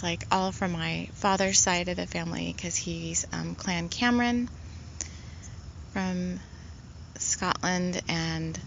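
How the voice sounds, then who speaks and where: A woman spiritual healer in casual, rambling talk, soft-spoken and full of fillers. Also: on the low side, creaky, highs cut off, slight background noise.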